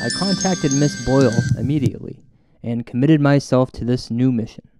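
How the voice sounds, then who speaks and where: A man hosting and narrating a spooky comedy as a paranormal investigator, mock-serious and deliberate in his storytelling. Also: medium pitch. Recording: music bed under narration. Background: music.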